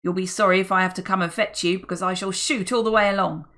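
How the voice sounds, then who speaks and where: A woman reading a menacing armed male criminal in an audiobook, loud and threatening. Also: mid-range voice, fairly even intonation, fast talker, natural voice.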